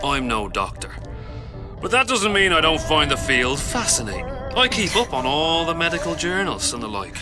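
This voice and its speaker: Irish gangster boss, sadistic game villain, swoopy highish voice, loud music under it. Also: male voice, very animated. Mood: mocking menace. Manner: taunting, theatrical, drawn-out phrasing. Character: menacing male crime boss, mockingly affectionate, sadistic. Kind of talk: video game cutscene acting. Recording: music behind the voice.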